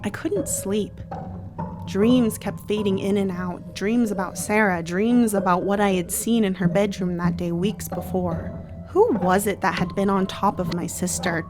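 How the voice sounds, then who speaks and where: Troubled teen girl, played by a woman, telling her story in horror radio drama, measured delivery with dramatic pauses, music underneath. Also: mid-range voice, lightly acted. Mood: brooding, uneasy. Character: troubled teenage girl narrating, protective of her sister. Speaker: actor narrating. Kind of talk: first-person horror audio drama monologue.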